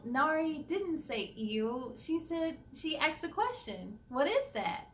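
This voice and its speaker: A woman reading a children's picture book aloud in an expressive, encouraging way, as if speaking directly to young listeners. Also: sits high. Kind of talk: children's read-aloud storytelling.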